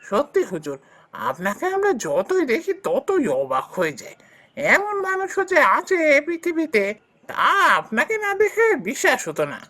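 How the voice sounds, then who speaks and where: A woman's voice: high-pitched, huge pitch swings, normal speed, a bit bright, exaggerated voice. A woman voicing a devoted male servant in a cartoon fairy tale, fawning and eager toward his master. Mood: admiring, grateful.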